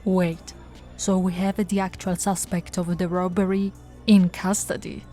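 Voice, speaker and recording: Policewoman played by a woman, patient and teasing, soft conversational delivery, unhurried pace, intimate ASMR roleplay. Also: fairly low pitch, level tone, faint music behind, close to an everyday voice. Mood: businesslike. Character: flirty, patient, teasing policewoman reassuring a suspect.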